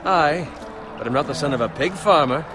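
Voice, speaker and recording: An actor playing a bitter, envious, foul-mouthed young squire in a medieval fantasy video game. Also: male voice, on the high side, expressive, fairly quick, lightly acted. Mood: bitter, sneering. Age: young adult. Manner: griping, sneering, swearing. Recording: music behind the voice.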